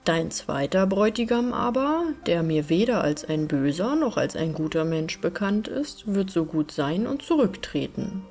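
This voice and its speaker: A woman's voice: on the low side, very animated, moderate pace, few pauses, a little mellow. A woman voicing a proud, wronged young huntsman in a fairytale, formal and declamatory. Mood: magnanimous, firm. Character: wronged young huntsman bridegroom, proud, stern yet forgiving.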